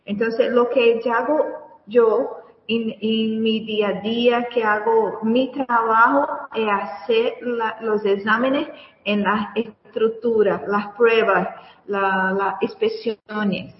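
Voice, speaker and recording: Brazilian woman, native Portuguese speaker, hesitant guest voice, slightly high, roomy far-off audio. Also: steady tone, lo-fi sound. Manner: halting, searching for words. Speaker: guest engineer. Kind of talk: radio interview answers.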